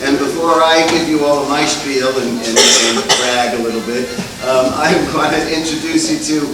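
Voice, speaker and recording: A man's voice: mid-range voice, moderate pace. A man giving a live welcome speech to an audience as the director of a stage show. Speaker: theatre director introducing a show. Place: live theatre, distant microphone. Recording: echoey theatre from far off, faint noise.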